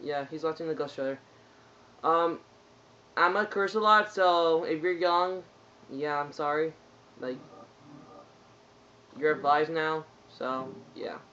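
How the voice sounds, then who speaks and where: A man trolling teammates in online voice chat with a put-on, squeaky and childish whine. His voice sits high, and the muffled, lo-fi recording carries a loud hiss underneath. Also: takes it slowly, pauses often. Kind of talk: prank voice chat during online shooter.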